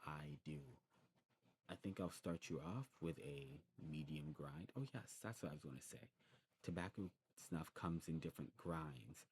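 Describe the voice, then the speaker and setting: A man's voice: rumbling low, lively intonation, measured pace, heavily acted. A man playing a demon snuff shopkeeper in an ASMR roleplay, explaining patiently in a hesitant, rambling way. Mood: patient, instructive. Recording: clearly noisy background.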